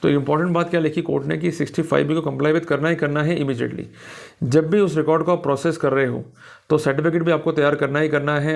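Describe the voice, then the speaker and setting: Indian guy teaching a law class, kinda high gravelly voice, explains stuff like a teacher. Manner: didactic, checks understanding with short questions; reads English passages slowly and deliberately, explains quickly in Hindi. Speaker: law lecturer. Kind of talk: lecture, reading out judgments and explaining them.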